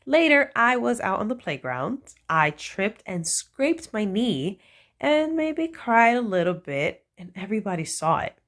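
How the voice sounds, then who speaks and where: Slow, lively woman reading a picture book. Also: medium pitch. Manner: slow, animated storytelling with playful emphasis. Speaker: children's book reader. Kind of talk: expressive read-aloud of a picture book.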